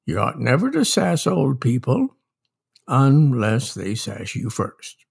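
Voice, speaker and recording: A man playing a wry older humorist who gives mock-earnest advice to children in a deliberate, drawling, husky theatrical reading. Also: mid-range voice, very animated, exaggerated voice. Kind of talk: theatrical dramatic reading of a comic essay.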